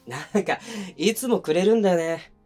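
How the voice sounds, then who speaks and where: A man acting as a considerate but oblivious young boyfriend in an intimate audio drama, talking casually and softly with pauses. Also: high-pitched, faint music behind, natural voice. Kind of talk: intimate situation-voice audio drama, naturalistic one-sided dialogue.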